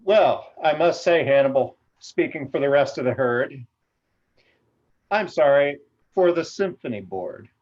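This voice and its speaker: A man's voice: fairly high pitch, darkish tone. A man playing a genteel, gossipy reverend on a symphony board, effusive and confiding, in a table read over a video call. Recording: dull, lo-fi video call audio.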